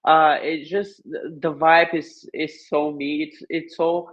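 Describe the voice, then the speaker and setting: A Bulgarian-accented man with a fairly high voice talks as a guest in an informal conversation. He phrases things thoughtfully and haltingly in a second language, and the recording sounds a bit dull and lo-fi. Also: flat delivery. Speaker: podcast guest. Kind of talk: casual conversation.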